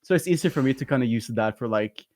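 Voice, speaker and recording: A man's voice: mid-range voice, lively intonation, fast, a little mellow. A man with a light voice, a talkative guest in a casual interview who rambles and laughs often. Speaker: guest, YouTube content creator.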